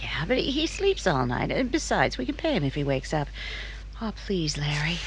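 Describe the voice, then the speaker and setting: A woman's voice: sits low, very animated, normal speed, heavy background noise. An actress playing a playful, free-spirited woman in a 1950s-style radio drama, teasing and coaxing as she talks.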